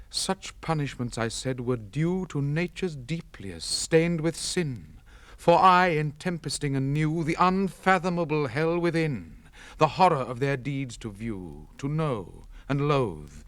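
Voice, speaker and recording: A man giving a solemn, intense dramatic recitation of classic poetry, with measured, theatrical stage diction. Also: medium pitch, no echo. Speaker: poetry reader. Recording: close, dry 1960s spoken-word record.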